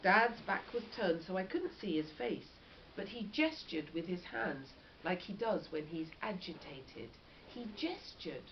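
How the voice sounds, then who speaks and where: Expressive woman reading to a class, muffled recording. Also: lowish voice, measured pace. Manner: expressive, questioning, encouraging. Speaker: primary school teacher. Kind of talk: reading a storybook aloud to a class, with discussion. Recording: dull lo-fi recording with background noise.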